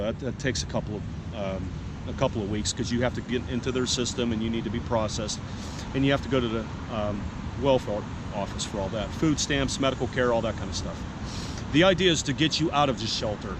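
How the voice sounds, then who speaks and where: A man's voice: mid-range voice, a little fast. Frank congested man vlogging outdoors. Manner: frank, plain-spoken, rambling asides. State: congested with a stuffy head. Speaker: homeless vlogger. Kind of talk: casual vlog monologue. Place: outdoors in a city park. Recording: outdoor noise in the background.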